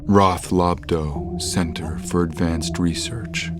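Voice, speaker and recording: A man's voice: low voice, steady tone, slow pace, over loud music. A man narrating a scripted horror audio drama as its storyteller.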